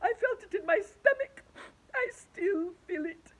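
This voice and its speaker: A woman voicing a gloomy, blind male earthworm in a storybook, wailing high and loud about disaster. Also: moderate pace, natural voice, low-quality recording.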